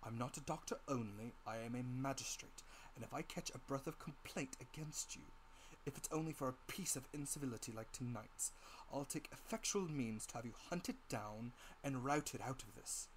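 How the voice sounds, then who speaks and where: A man voicing a calm, steely gentleman doctor and magistrate in a storybook reading, firm and formal. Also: mid-range voice, moderate pace, slight background noise, lightly acted, rough recording. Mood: firm threat.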